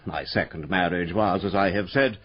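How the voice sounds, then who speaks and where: An actor playing a cold, sinister middle-aged aristocrat in a radio drama, pompous and disdainful, with an upper-class English accent. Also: male voice, low voice, normal speed, highs cut off, natural voice.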